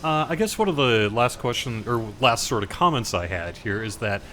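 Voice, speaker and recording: A man in a mid-range voice runs a laid-back interview, tossing out loose, rambling questions. Behind him, a faint rumble stays low in the recording. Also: moderate pace. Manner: relaxed, chatty, filler-heavy questions. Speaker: interviewer, community host. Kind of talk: casual interview.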